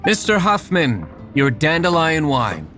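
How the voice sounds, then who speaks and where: Aloof, curt young nobleman, expressive pitch. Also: measured pace, soft background music. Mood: cool, guarded. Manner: curt, composed, dry. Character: cool, aloof young nobleman and secret vigilante. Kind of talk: video game voice acting.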